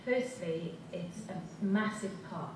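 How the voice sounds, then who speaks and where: A woman's voice: fairly low pitch, animated, slow. Welsh woman in an echoey hall. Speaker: actor on a panel. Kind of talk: panel discussion. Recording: echoey hall from far off, noisy.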